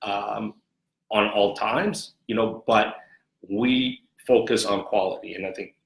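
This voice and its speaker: In a roomy, echoing recording, a man with a lowish voice gives interview answers. He speaks as a sports executive, measured and conversational, often circling back over his phrases. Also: steady tone, creaky, slight background noise. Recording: echoey, roomy sound.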